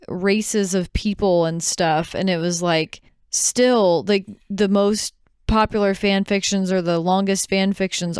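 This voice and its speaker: A woman with a very clean, smooth voice at a medium pitch, recorded close and dry. She talks casually as a podcast co-host, opinionated and incredulous but careful to soften what she says. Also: no room sound.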